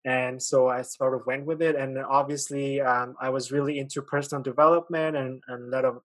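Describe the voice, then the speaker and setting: Podcast guest, man, late 20s, grew up in Pakistan; friendly, halting, dry sound. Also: mid-range voice, little pitch movement, a bit bright, no echo. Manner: friendly, hesitant, many fillers and restarts. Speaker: guest, author and podcast host. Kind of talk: casual interview chat.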